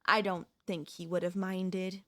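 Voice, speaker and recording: A woman playing a possessive, manipulative wife in an ASMR audio roleplay, speaking to the listener in a sweetly condescending, deliberate, theatrical way. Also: on the low side, flat delivery, close to an everyday voice. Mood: smug, chilling calm. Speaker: voice actress.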